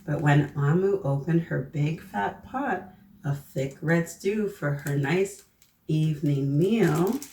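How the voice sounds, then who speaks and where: A woman with a mellow, lowish voice reads a picture book to children at an unhurried pace. She turns to her young listeners with questions as she goes. The sound is roomy and a little far from the mic. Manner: gently prompting listeners with questions. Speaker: children's storybook reader and host. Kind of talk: read-aloud storytelling with asides to children. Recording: roomy echo, distant mic, faint noise.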